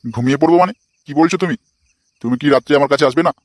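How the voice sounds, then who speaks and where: A man's voice: a little high, rapid-fire, no echo, natural voice. A man voicing a crude, bossy, lecherous old father-in-law in a comic cartoon, foul-mouthed and blustering. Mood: pleading, lecherous.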